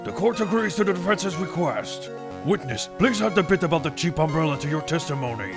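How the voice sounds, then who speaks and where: A man's voice: a little high, animated, a little fast, vocal fry. A man voicing a stern but easily baffled older judge with formal delivery in a courtroom fan dub. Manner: formal, pronouncing. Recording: music behind the voice.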